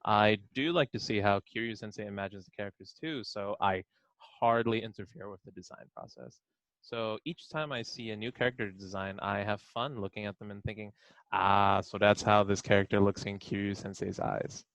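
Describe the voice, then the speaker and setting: A man's voice: lowish voice, steady tone, normal speed, a bit bright, dry recording, poor audio. A man chatting casually on a Q&A panel and reading answers aloud as an editor.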